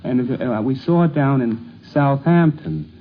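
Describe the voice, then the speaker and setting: A man in his forties from the United States shares recollections in a conversational way. His voice is medium in pitch, heard through a thin, phone-like 1950s radio recording with slight noise. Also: lively intonation, unhurried. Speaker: interviewee recalling family life. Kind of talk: conversational anecdote telling. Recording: tinny, faintly noisy 1950s radio recording.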